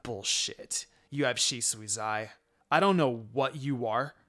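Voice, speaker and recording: A man voicing a feisty young ninja woman in a fan fiction story, blunt and expressive. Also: mid-range voice, slow pace. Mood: stern, defiant.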